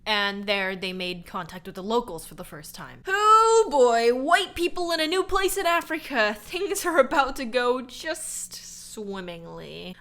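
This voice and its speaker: A woman's voice: a little high, pushed and bright. A young woman born in Korea and raised in Hawaii, narrating a scripted video essay with sarcastic, profane asides.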